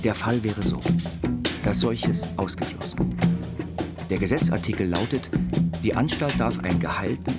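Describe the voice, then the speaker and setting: Low-voiced male narrator over guitar music. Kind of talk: literary narration over music, reading autobiographical texts. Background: plucked guitar music.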